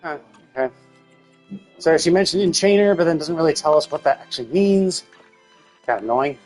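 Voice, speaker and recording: A man livestreaming a video game with casual, sweary, exasperated commentary in a husky voice. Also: on the high side, fairly quick, a little mellow, soft background music.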